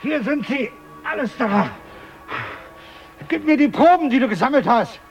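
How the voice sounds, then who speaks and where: A woman's voice: high-pitched, lively intonation, unhurried, dull audio, faint music behind. An actress playing a practical, caring woman who supports a polar expedition, in radio drama dialogue. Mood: caring, brisk.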